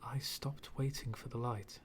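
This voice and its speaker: Over steady background noise comes a bitter, coldly philosophical villain, acted by a man. He speaks very slowly and broodingly, often sinking toward a whisper, with menace held in long dramatic pauses. The delivery is an intimate ASMR roleplay monologue. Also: mid-range voice, natural voice.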